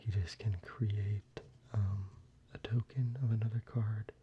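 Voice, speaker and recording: An ASMR creator whispering softly and closely, with frequent ums and restarts while showing their collection. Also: medium pitch, little pitch movement, measured pace, muffled recording, noisy background.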